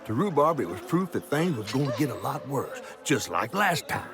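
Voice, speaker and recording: A man playing a folksy storyteller in a video game, with a Southern US accent and an expressive way of talking. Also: mid-range voice, normal speed, noisy background, close to an everyday voice. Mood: grave, storytelling. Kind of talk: storybook-like game narration and dialogue. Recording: music behind.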